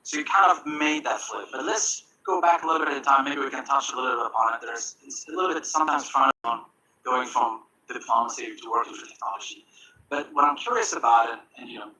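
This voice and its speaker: A man's voice: medium pitch, fairly quick, pushed and bright, low-quality recording. A man moderating a conference panel, joking lightly and asking long, hesitant questions full of fillers. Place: conference stage.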